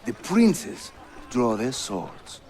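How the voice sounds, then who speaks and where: Animated Turkish-accented assassin leader. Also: male voice, medium pitch, extremely slow. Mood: grave, explanatory. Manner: animated, theatrical, teasing. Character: jovial, hospitable Ottoman assassin leader, teasing and wry. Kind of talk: video game dramatic acting.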